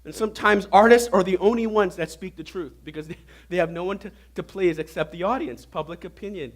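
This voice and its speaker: A man preaching a sermon as pastor, his voice hoarse and raspy from a recent flu, asking the audience questions as he goes. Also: fairly quick. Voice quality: normally deep voice, now raspy. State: hoarse, getting over a flu. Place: church hall before an audience.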